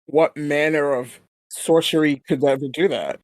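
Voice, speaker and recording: A man's voice: on the high side, fairly even intonation, unhurried, dry recording. A man talking casually as a player at a tabletop role-playing game.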